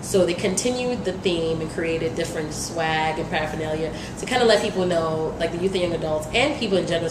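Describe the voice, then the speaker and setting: A woman working as a health and wellness coordinator, explaining things in an encouraging way during an interview. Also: fairly low pitch. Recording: echoey room with clear background noise.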